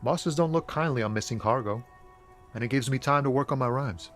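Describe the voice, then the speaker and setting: A laid-back young street poet, played by a man, talks in an easygoing, slangy drawl at a mid pitch. Faint music plays behind him. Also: a little fast, close to an everyday voice. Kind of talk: scripted game dialogue.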